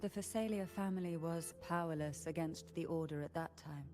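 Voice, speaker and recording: A woman plays a poised noblewoman from a fallen house in a video game. Her voice is low and very mellow, with formal, measured storytelling that keeps its secrets close. Faint music sits behind her. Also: close to an everyday voice. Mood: grave. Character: poised, secretive noblewoman of a disgraced old family.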